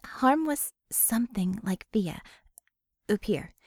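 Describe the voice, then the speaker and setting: A woman's voice: medium pitch, expressive, takes it slowly, a little mellow, slightly rough, no room sound, studio quality, heavily acted. A woman voicing a confident, candid female expert who explains things in an urgent, colloquial way. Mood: earnest explaining.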